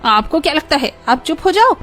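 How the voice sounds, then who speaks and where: A woman's voice: sits high, big pitch swings, fairly quick, a bit bright, soft background music. A woman voicing a snobbish, modern middle-aged mother-in-law in a cartoon, irritably dismissive. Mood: irritated. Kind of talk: cartoon voice acting.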